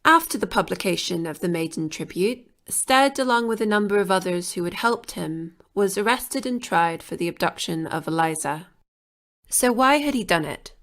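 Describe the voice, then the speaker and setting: Gravelly woman narrating a documentary. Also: on the low side, lively intonation, normal speed, a bit bright. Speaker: podcast narrator.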